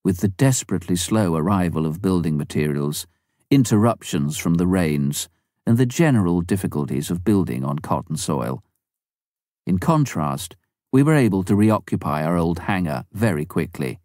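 A man narrates a memoir in a deep, warm voice. His reading is measured and even, recorded close and dry with no room sound. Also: no echo. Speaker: audiobook reader.

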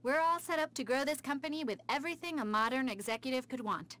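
A woman's voice: sits high, quick pace, fairly bright tone. A woman playing a polished, efficient executive assistant in a video game cutscene, composed and formal. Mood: courteous, composed. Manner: composed, formal, scripted welcome.

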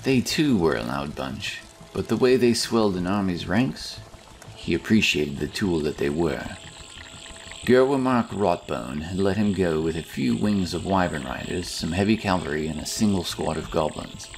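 Deep, gravelly man narrating fantasy. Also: expressive, moderate pace, a little hiss or hum, low-quality recording. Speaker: audiobook narrator. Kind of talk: scripted fantasy story narration.

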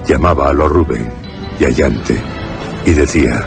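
A man's voice: extremely low pitch, normal speed, vocal fry. A man narrating a suspense and horror radio drama in a scripted, dramatic style. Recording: radio drama with loud music behind. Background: suspense music.

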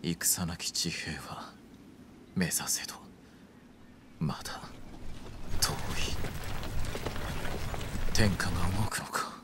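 An actor playing a solemn, burdened but resolute samurai in a game, speaking in a hushed, grave, measured manner. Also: male voice, sits low, steady tone, whispered. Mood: brooding. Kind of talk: dramatic video game cutscene acting. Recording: loud background music.

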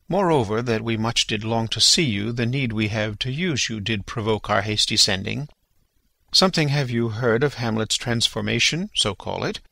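An actor playing a stately, commanding king in a classical drama reading, formal and measured. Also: lowish voice, creaky, sharp tone, no room sound, full character voice.

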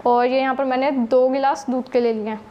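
A woman's voice: sits high, steady tone, fairly quick, muffled recording. A woman hosting a home cooking channel with a Pakistani Urdu accent, patiently explaining each recipe step to her viewers.

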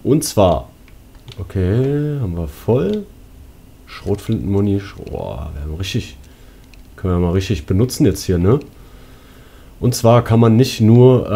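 A man's voice: mid-range voice, expressive, moderate pace, dark tone. A man giving casual let's play commentary as he guides viewers through a video game. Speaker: gaming YouTuber, let's player.

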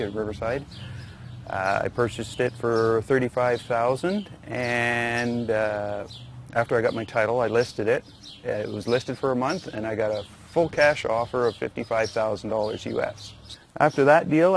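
A middle-aged man with a Canadian accent and a mid-pitched voice gives a plain testimonial. He is matter-of-fact and a little hesitant. Recorded in a yard, with faint outdoor noise and birdsong. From Saskatchewan, Canada. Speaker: student giving a testimonial.

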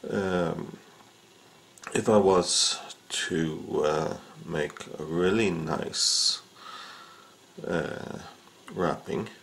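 Very deep, gravelly-voiced man demonstrating. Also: a bit bright. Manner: unhurried, with frequent "uh" and "um" fillers. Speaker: fishing rod repair instructor. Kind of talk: casual how-to demonstration. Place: indoors at a workbench.

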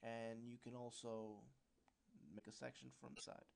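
A man giving an informal, unscripted software tutorial, explaining step by step and sometimes searching for words. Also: mid-range voice, little pitch movement, moderate pace, vocal fry, clean tone.

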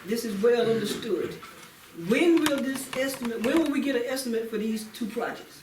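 Insistent high-voiced woman, echoey meeting room. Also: normal speed, darkish tone. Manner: insistent, demanding, repeats her points. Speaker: city council member. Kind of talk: formal public meeting discussion. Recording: echoey meeting room, distant mic, faint noise.

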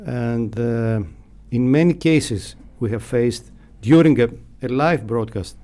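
A middle-aged man with a Greek accent, answering interview questions in a halting, hesitant way. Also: mid-range voice, dark tone. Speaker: radio station staff member, interviewee. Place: small foam-padded radio studio. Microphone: close studio mic on boom arm, headphones.

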